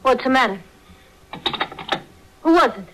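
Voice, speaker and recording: An actress playing the anxious, nosy companion of a crook, pleading and questioning in slangy 1940s radio thriller style. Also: female voice, high voice, animated, measured pace. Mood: uneasy. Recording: noisy old 1940s radio broadcast.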